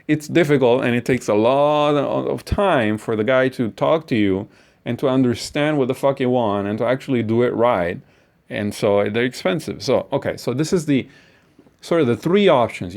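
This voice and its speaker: A man giving a casual, rambling lecture as a course instructor, blunt and full of profanity. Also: on the low side.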